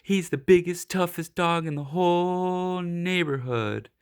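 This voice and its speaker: A man's voice: high voice, measured pace, smooth, pitched above the performer's own voice. A man voicing an awed neighborhood dog in a storybook, announcing with emphasis. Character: awed neighborhood dogs gossiping about a tough dog.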